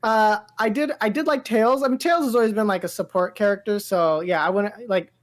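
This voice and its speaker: A woman's voice: mid-range voice, a little fast. A woman chatting casually as a podcast co-host, enthusiastic and rambling, peppering her talk with "like" and "dude". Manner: rambling, heavy use of "like" and "dude"; enthusiastic, gushing. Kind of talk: casual pop-culture chat.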